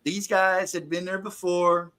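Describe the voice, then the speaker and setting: A man's voice: high voice, level tone, a little slow, sharp tone. A man co-hosting a casual chat, telling loose slangy stories and laughing along. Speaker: podcast co-host.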